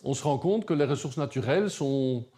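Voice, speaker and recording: A man's voice: medium pitch, lively intonation, brisk, warm tone. A middle-aged man giving articulate, emphatic interview answers as a human rights advocate. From Belgium. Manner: articulate, emphatic, builds argument in lists.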